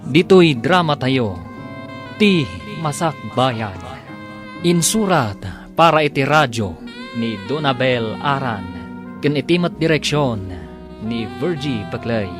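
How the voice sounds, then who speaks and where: A man narrating a radio drama as its announcer in a scripted, declamatory, emphatic style. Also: mid-range voice. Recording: prominent musical bed. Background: music.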